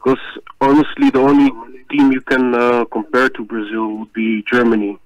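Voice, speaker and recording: Dutch caller on a phone-in line. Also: male voice, medium pitch, flat delivery, moderate pace, muffled recording. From Netherlands. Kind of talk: phone-in call.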